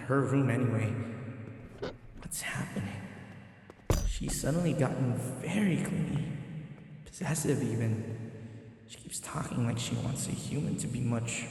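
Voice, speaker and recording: A man's voice: mid-range voice, measured pace, long pauses, darkish tone, slightly rough. A man playing a timid, submissive human servant in an audio roleplay, speaking hesitantly and deferentially with stammers. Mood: musing, uneasy. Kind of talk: audio roleplay acting, one-sided dialogue.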